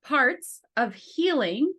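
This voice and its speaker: A woman's voice: sits high, big pitch swings, very slow, fairly bright tone. Upbeat woman podcast host. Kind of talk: podcast interview.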